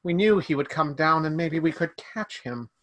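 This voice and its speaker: A man putting on a sinister, hissing bat-like vampire, its voice lifted high and loud above his usual narration. It sounds hungry and lurking, as in a tabletop game. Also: expressive, moderate pace, no room sound.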